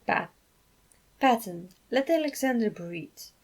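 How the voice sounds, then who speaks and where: A woman voicing a calm, studious man in a story reading, formal, measured and quietly kind. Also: fairly high pitch, full character voice. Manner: measured, precise.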